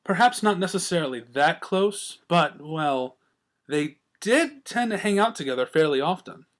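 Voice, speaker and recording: A man reading fiction aloud as a storyteller, with expressive scripted narration. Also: a little high, moderate pace. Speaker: story reader.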